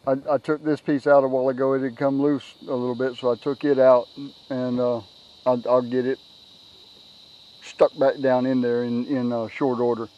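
A middle-aged man giving a casual, unscripted product walkthrough outdoors on a camera mic, halting and repeating words as he goes. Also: mid-range voice, level tone, dark tone, muffled recording. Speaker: product reviewer, kayak owner. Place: outdoors on a grassy yard. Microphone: handheld camera's built-in microphone.

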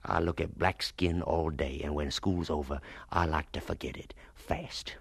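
An actor playing a bitter, sarcastic schoolteacher in a radio drama, stern and sneering in a theatrical way. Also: male voice, very deep voice, fairly even intonation, unhurried, vocal fry, breathy edge, full character voice. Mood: contemptuous. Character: bitter, scornful adult male schoolteacher, sarcastic and domineering.

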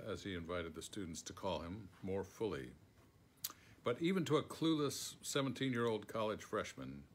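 Older american guy with a low voice, reading his own written piece out loud at home. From Schenectady, New York, United States. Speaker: foreword author reading aloud. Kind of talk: scripted narration, reading a memoir aloud. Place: book-lined room at home.

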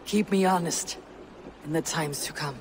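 An actress playing a Viking woman warrior and clan leader, speaking in a measured, earnest way to her people. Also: deep voice, noisy background, lightly acted.

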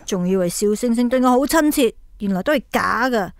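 A woman's voice: on the high side, animated, brisk. A woman playing a vain, bossy faded ghost star with dramatic, boastful delivery in a comic radio drama. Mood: bitter, resigned.

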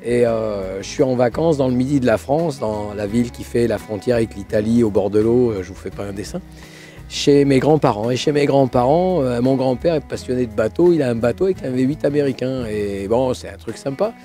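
Hoarse older man hosting a car show, warm low tone, chatty and halting. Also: faint music behind. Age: 60s. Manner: hesitant, many fillers and restarts. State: hoarse from laryngitis. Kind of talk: casual storytelling to camera.

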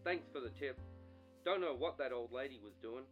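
A man's voice: mid-range voice, moderate pace. A man playing a casual, offhand police officer over a telephone in a noir radio drama. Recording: dull phone call with music behind.

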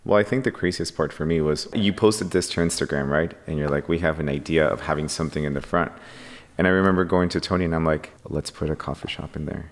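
Deep-voiced man acting out his story. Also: fast, long reverb tail. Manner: animated, acts out his own past lines. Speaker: podcast guest, coffee company founder. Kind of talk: casual podcast conversation. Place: podcast studio. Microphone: boom-arm studio microphone.

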